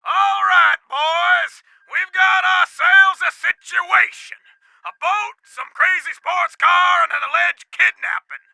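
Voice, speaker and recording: A man playing a blustering, excitable country sheriff in a video game, drawling out orders in a strained, gravelly shout. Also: very high-pitched, takes it slowly, dry recording, exaggerated voice, low-quality recording. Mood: blustering, urgent.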